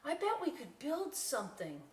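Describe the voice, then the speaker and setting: A woman's voice: high voice, normal speed, a little hiss or hum, voice pushed up. A woman voicing an eager, earnest young male bat from a children's storybook. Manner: excitable, earnest. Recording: echoey room, distant microphone.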